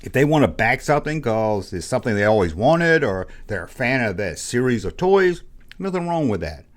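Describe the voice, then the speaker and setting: A man hosting a toy collecting channel, ranting bluntly in a casual, exasperated way, his voice hoarse from being sick. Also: medium pitch, big pitch swings, moderate pace. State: hoarse, losing his voice after illness. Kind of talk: casual opinion rant to camera.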